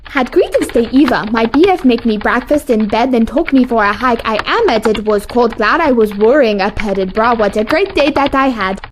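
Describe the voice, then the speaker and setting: A woman's voice: a little high, lively intonation, normal speed, slight background noise, lightly acted. A woman playing a gushing, semi-literate social media poster in an audio play, reading garbled sentences aloud. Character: gushing, semi-literate woman posting about her day.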